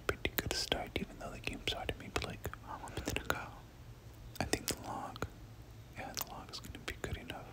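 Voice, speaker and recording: A person whispering ASMR game commentary in a breathy voice, often repeating words and short phrases over and over. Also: rough recording. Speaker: gaming video host.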